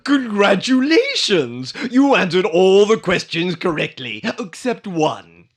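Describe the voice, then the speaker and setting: A man playing a dry, wisecracking license examiner in old-time radio comedy style, with drawn-out hems. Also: high-pitched, very animated, soft background music, heavily acted. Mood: mock-formal.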